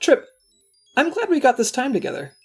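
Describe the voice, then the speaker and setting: Woman as an excitable, high-voiced male secret agent. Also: a little fast, vocal fry, husky, faint music behind, full character voice. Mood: sincere. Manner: excitable, earnest. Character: eager, earnest, goofy male secret agent. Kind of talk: audio comedy drama acting.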